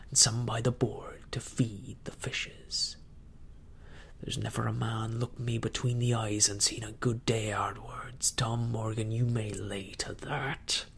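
Man voicing a menacing old pirate, gravelly, husky, low hushed growl, slow. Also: a little hiss or hum, exaggerated voice. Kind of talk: audiobook reading.

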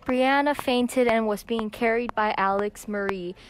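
A woman's voice: fairly high pitch, unhurried. A young teenage girl reading scripted narration for a school audio drama. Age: young teen. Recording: clicky amateur recording with background noise.

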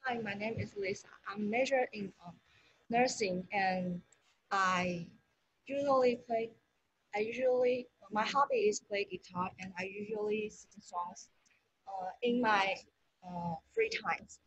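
Young woman, slow halting intro, video-call audio, faint hiss. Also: mid-range voice, expressive, dull audio. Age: young adult. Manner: hesitant, halting. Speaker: exchange student. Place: large indoor room.

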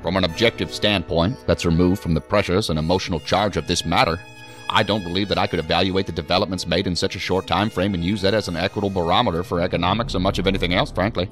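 Wry, low-voiced federal official. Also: male voice, steady tone, a bit bright, soft background music. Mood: controlled, formal. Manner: formal, measured, wry. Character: weary, dryly witty male federal assistant director, articulate. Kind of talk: audio drama acting.

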